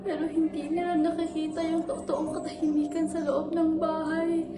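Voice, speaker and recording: A young woman acting a lonely daughter who feels ignored by her family, speaking slowly and bitterly. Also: very high-pitched, flat delivery. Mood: wistful. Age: young adult. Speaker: student actor. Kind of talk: stage monologue. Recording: echoey room with background music.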